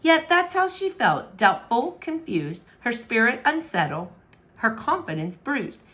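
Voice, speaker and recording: A woman reading a rhyming children's book aloud in an expressive, rhythmic, dramatic narration. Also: fairly high pitch, measured pace. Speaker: storybook reader.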